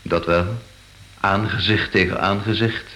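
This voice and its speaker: A composed, formal official in a radio play. His voice is on the low side and measured, recorded in an echoing room. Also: steady tone, sharp tone. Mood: grave, puzzled. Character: composed, formal male art exhibition official.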